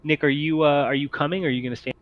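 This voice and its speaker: A man improvising narration as game master of a tabletop roleplaying game, often halting as he thinks aloud. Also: a little high, fairly even intonation, lo-fi sound.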